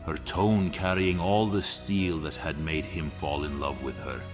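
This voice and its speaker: A man's voice: very deep voice, level tone, unhurried. A man narrating an epic fantasy story in a dramatic, scripted storytelling style. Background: music.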